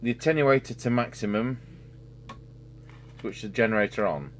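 A man's voice: mid-range voice, lively intonation, normal speed. A man giving an unscripted hands-on repair commentary as a technician, thinking aloud while he works. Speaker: radio repair technician and host. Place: indoor electronics workbench. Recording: noisy workbench recording with hum and radio hiss.

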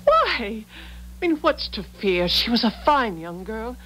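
An actress playing a fragile, earnest and insistent wife in a 1970s radio drama, halting and pleading. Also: female voice, a little high, very animated, fairly bright tone, slight background noise, low-quality recording.

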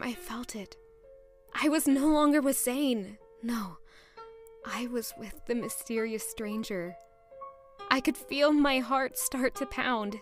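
A young woman playing an earnest, emotional young noblewoman in a romantic fantasy audio drama, hesitant and sincere. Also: on the high side.

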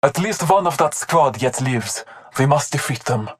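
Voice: medium pitch, lively intonation, moderate pace, close to an everyday voice. An actor playing a devout, honor-bound masked hunter warrior in a video game, speaking in formal, solemn callouts. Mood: solemn, focused.

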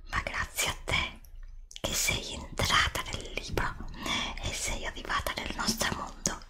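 A woman playing a solemn, pleading princess of a threatened castle kingdom in a hushed ASMR roleplay, dramatic and close to the microphone. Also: measured pace. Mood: solemn, grave. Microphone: close desktop USB microphone.

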